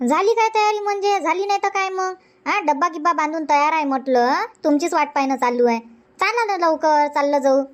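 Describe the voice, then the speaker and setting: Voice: high-pitched, fairly quick, natural voice. An actor voicing a chatty, stubborn village housewife in a comedy cartoon, nagging insistently in a rural Vidarbha Marathi accent. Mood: scolding.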